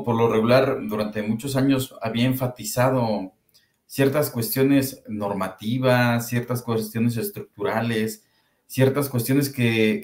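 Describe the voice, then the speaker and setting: A Mexican man, a school supervisor, reflecting thoughtfully in a panel conversation, building his points by repetition. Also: mid-range voice. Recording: echoey room.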